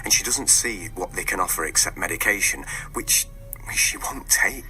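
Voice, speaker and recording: A sharp-voiced, defensive husband. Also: male voice, low voice, animated, normal speed, soft background music, heavily acted, poor audio. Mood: guarded, subdued. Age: middle-aged. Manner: hesitant, clipped denials. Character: defensive husband of a missing woman, quietly evasive. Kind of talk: naturalistic radio drama dialogue.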